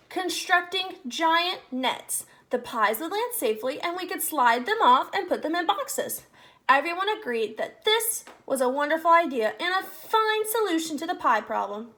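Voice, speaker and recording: Very high-pitched woman reading a children's storybook. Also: a bit bright. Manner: addresses young listeners directly with questions. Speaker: read-aloud host, storyteller. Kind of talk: expressive children's storybook read-aloud.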